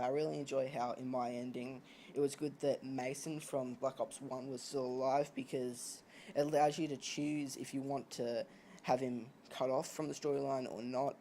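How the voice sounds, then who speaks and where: Male host, casual gaming chat, medium pitch, slightly noisy home recording. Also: warm tone.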